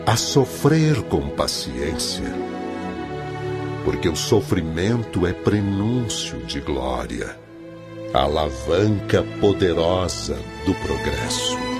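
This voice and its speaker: An actor playing a solemn divine teacher in a radio drama, speaking in a grave, exhorting, measured way. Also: male voice, low voice, heavily acted. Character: Jesus Christ, solemn male divine teacher giving a mission. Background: background music.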